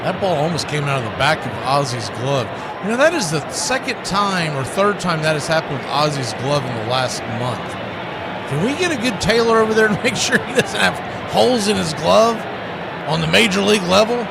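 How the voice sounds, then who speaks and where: A fairly high-pitched man calls a baseball game play by play in short, live phrases. A loud wash of outdoor-like noise runs behind his voice. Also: sing-song, brisk, creaky. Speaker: baseball play-by-play announcer, host. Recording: very noisy outdoor-sounding ambience behind.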